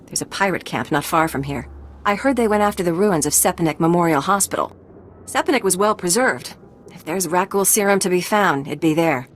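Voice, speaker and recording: An actress playing a plague researcher in a video game, with a dry, ironic, measured delivery. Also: female voice, lowish voice, a little hiss or hum, lightly acted. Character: wry female plague researcher. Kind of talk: game cutscene acting.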